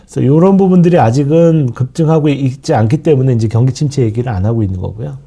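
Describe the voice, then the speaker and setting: Husky man with a cold, lecturing. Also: medium pitch, fast, dark tone. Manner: explanatory, leans on fillers and frequent trailing asides. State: sore throat from a cold. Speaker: fund manager lecturing on markets. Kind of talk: market outlook lecture.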